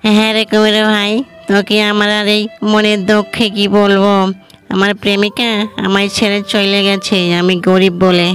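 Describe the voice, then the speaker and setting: A woman's voice: mid-range voice, monotone, moderate pace, fairly bright tone, soft background music, close to an everyday voice. A woman voicing a sad, poor, gullible cartoon fox who complains in a plaintive way. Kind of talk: cartoon animal dialogue.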